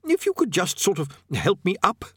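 A man's voice: high-pitched, huge pitch swings, normal speed, husky, dry recording, clean studio sound, pitched above the performer's own voice, exaggerated voice. A man voicing a good-natured, faintly worried old male prisoner in a storybook, polite, hesitant and quaintly formal. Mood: relieved, friendly.

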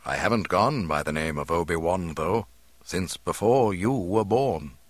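A kindly old hermit, voiced by a man reading a storybook, calm and cryptic, with a low, husky voice. He speaks gently and takes his time, sounding wise and unhurried. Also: no echo. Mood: knowing, calm. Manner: gentle, slow, deliberate.

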